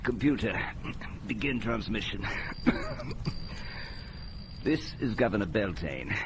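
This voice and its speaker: A grave, embattled governor records a war message in a space-opera audio drama. His lowish voice is slow and dramatic, over noisy ambience. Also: very animated, full character voice. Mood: grave, official. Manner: slow, dramatic official announcement.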